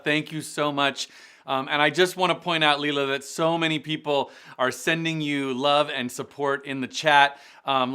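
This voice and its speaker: A man hosts an online gala in a bright, forward voice that sits a little high. His tone is crisp and clear. He talks effusively and chattily to his audience. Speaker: event host.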